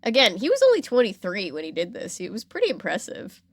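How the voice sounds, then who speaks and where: A woman's voice: medium pitch, huge pitch swings, fast, dry recording, clean studio sound. A woman hosting a podcast, telling a story in a playful, informal, conversational way with casual asides. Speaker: podcast host.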